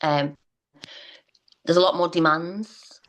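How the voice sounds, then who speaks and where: Low-pitched woman guest, heartfelt and halting. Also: steady tone. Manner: earnest, hesitant, many restarts. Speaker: interview guest, parent. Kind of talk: personal story in interview.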